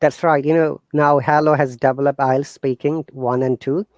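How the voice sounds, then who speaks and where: Bangladeshi-accented man, earnest and halting. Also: on the high side, no room sound, clean studio sound. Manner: earnest, animated, halting with fillers and restarts. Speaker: guest teacher. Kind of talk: casual interview talk.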